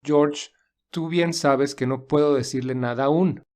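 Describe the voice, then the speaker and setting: A man playing an earnest, defensive young gentleman in love in an audio drama, speaking in a measured way. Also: mid-range voice, fairly even intonation, lightly acted.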